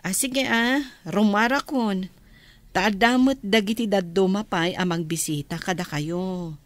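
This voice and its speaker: A woman's voice: mid-range voice, unhurried, dry recording, close to an everyday voice. An actress playing a composed, forgiving and reassuring lady benefactor in a Philippine radio drama.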